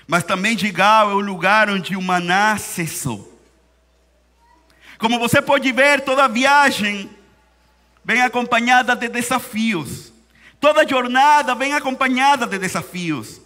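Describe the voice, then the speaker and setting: A man from Venezuela with a Spanish accent, preaching a sermon in Portuguese, slowing down and repeating key phrases for emphasis. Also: extremely high pitch, pushed and bright. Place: church hall. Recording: church hall reverb.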